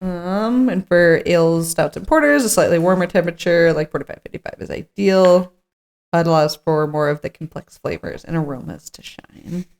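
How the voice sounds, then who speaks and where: A woman's voice: fairly low pitch, moderate pace, a little mellow. A woman co-hosting a podcast in an informal chat, partly reading from notes and thinking aloud. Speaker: podcast co-host.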